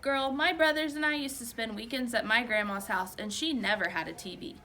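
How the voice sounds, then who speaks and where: Woman voicing easygoing dad, folksy and bright. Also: on the high side, fairly quick. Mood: fond, easygoing. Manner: chuckling, folksy reminiscing. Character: easygoing dad, fondly reminiscing storyteller.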